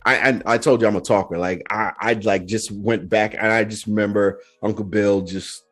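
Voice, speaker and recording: A Nigerian man hosting a podcast, telling a story in a relaxed, animated way and acting out the voices he quotes. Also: on the low side, moderate pace, slightly rough. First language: Yoruba. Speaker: podcast host. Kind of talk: casual storytelling chat.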